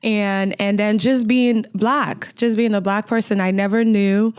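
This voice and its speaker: A woman in her late thirties from Cameroon speaks with a Cameroonian accent, French being her first language. She tells her stories as an interview guest, animated and quick to restart her sentences. Her voice is smooth and clear, recorded dry and close. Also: medium pitch, no echo. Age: 30s. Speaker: podcast guest. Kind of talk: casual interview conversation.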